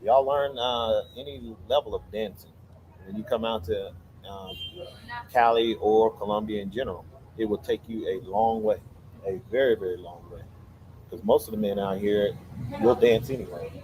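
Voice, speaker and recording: A man co-hosting a casual livestream outdoors, relaxed, giving advice and answering viewer questions. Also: on the low side, normal speed, slight background noise, rough recording. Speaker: livestream co-host.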